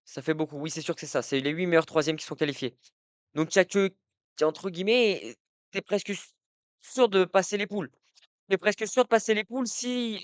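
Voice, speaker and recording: A young man chatting on a live stream, rambling and restarting as he answers his viewers. His voice sits a bit high and comes across clean and crisp. The sound is close and dry, with no room around it. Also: dry recording. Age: young adult. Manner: rambling, many fillers and restarts, talks to chat. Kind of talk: casual live-stream football talk. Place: indoor room at night.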